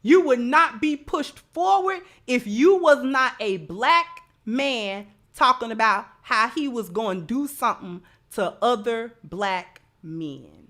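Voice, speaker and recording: A woman's voice: fairly high pitch, expressive, takes it slowly, hardly any pauses, fairly bright tone. An American woman host giving opinionated commentary in informal, colloquial speech, emphatic and repeating phrases to drive a point home. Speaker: livestream host.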